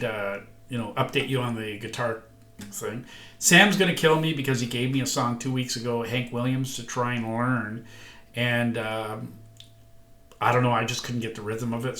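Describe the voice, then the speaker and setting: A man's voice: mid-range voice, bright, forceful tone. An older man vlogging casually about learning guitar, folksy and self-deprecating, sounding a bit congested. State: congested, recovering from illness. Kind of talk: casual vlog chat. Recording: echoey room, guitar playing.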